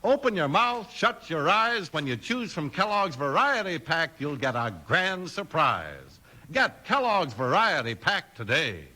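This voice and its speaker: A man's voice: on the high side, very animated, unhurried, sharp tone. An American man announcing and reading sponsor copy in a polished, emphatic 1950s radio style. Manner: polished, emphatic sales delivery. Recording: old radio transcription with music.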